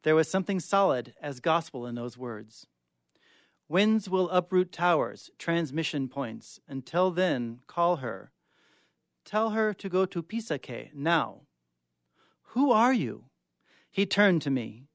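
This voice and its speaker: Male guest reader for short fiction, dramatic delivery, slightly high voice, dry studio sound. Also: animated, takes it slowly, dry recording. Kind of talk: dramatic short-story reading. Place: radio studio.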